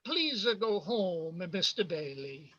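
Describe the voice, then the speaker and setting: A man playing a warm, anxious immigrant barkeeper in a radio play, fussing in halting broken English. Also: high voice, sing-song, heavily acted. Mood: concerned, pleading. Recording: dull lo-fi video call audio.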